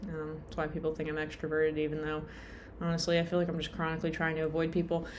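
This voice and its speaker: Tired young woman vlogger, low pitch, chatty and meandering, self-deprecating. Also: completely flat delivery, fast talker, a little hiss or hum. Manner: rambling, lots of "like" and "um", self-deprecating. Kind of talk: casual rambling vlog.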